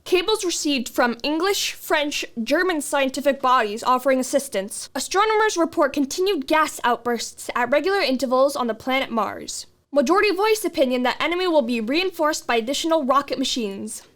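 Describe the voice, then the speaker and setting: Serious bulletin announcer played by a woman, high voice, clipped scripted news reading for radio drama. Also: lively intonation, brisk, hardly any pauses, fairly bright tone. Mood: grave. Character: serious radio newsreader giving war bulletins.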